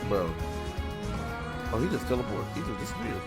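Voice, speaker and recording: A man's voice: mid-range voice, sing-song, normal speed, over loud music. A man giving casual reaction commentary in a slangy, repetitive way. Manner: slangy, repeats himself. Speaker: reaction video creator.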